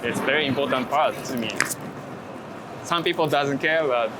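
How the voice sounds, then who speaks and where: A man talks about his photography in hesitant, second-language English, pausing and restarting often. He's outdoors on a city street with traffic noise plainly audible. His pitch sits in an ordinary middle range. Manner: halting English with many fillers and restarts. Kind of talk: casual walking interview.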